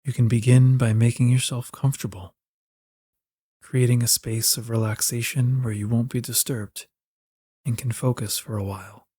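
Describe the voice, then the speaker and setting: A man narrating a scripted guided meditation in a gentle, soothing manner, giving soft, unhurried instructions close to the mic. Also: medium pitch, flat delivery, husky, no room sound.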